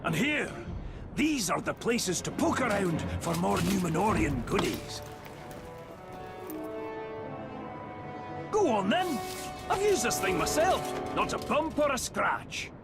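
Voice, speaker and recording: An actor playing a jovial, eccentric dwarf tinkerer in a video game, with a folksy, teasing manner. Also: male voice, sits high, wildly swooping pitch, a little slow, full character voice. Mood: breezy, encouraging. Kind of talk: animated video game character acting. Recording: roomy reverb under game music.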